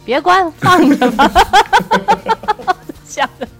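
A woman hosting a podcast, moving between casual chat and playful story narration in a giggly, animated way. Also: high voice, slow pace, music in the background. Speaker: podcast host.

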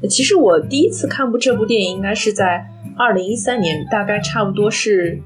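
A woman's voice: mid-range voice, lively intonation, brisk, poor audio. Young woman chatting reflectively over music. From Shanghai, China. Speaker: podcast co-host. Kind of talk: casual film discussion. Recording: music playing behind the voice.

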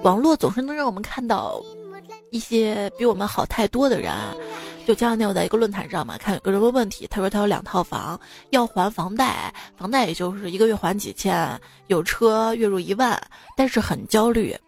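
A woman's voice: mid-range voice, big pitch swings, fairly quick. A young woman hosting a chatty comedy monologue in a playful, teasing and theatrical manner. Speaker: host of a comedy joke show. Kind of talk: chatty humorous monologue over music. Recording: music bed behind the voice. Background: background music.

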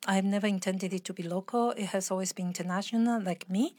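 A woman with a mixed international accent talking as an interview guest, reflective, earnest and expressive in a conversational style. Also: medium pitch, fast talker, no room sound.

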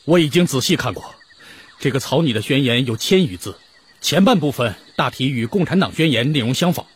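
Historical radio drama delegate: firm debater, mid-pitched, rough breathy tone, animated delivery. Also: male voice, a little fast. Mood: firm, argumentative. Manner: assertive debating.

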